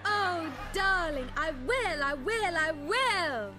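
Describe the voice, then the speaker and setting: A woman's voice: extremely high pitch, expressive, slow pace, pushed and bright, faint music behind, exaggerated voice. A woman playing a young lovestruck princess in a stage play, overwrought and melodramatic. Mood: elated.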